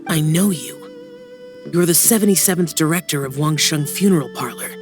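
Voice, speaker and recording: A man's voice: fairly high pitch, moderate pace, faint music behind, natural voice. A man voicing an aloof young immortal guardian with a terse, solemn manner in a game dub. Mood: stern. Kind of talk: game cutscene dub acting.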